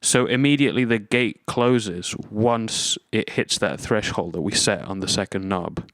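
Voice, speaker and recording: A man's voice: lowish voice, a little slow, over music. A man presenting an instructional gear demonstration, explaining methodically, step by step. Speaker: tutorial presenter.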